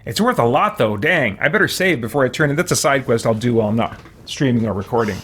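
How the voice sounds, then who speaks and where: A man's voice: mid-range voice, animated, fast talker, a bit bright, a little hiss or hum. A man streaming a video game, giving casual let's-play commentary and thinking aloud in rambling, chatty asides. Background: video game music.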